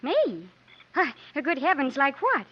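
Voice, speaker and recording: A woman's voice: sits high, huge pitch swings, slow, full character voice. A woman playing a tender, earnest and slightly hesitant young heroine in love, in 1950s radio drama style. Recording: muffled, noisy 1950s radio recording.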